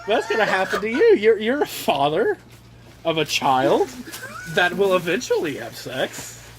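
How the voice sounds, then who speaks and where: A man casually reading aloud and riffing on the text with sarcastic, profane, animated asides, on an amateur home recording. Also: high voice, unhurried. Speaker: reader riffing on a handbook aloud to his brothers. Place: casual room with brothers chiming in.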